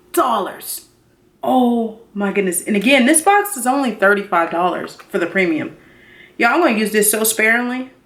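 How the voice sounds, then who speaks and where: Expressive, slangy woman vlogger in her thirties. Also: medium pitch. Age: 30s. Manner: expressive, slangy, emphatic, repeats herself for effect. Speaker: beauty vlogger. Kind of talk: casual excited unboxing chat.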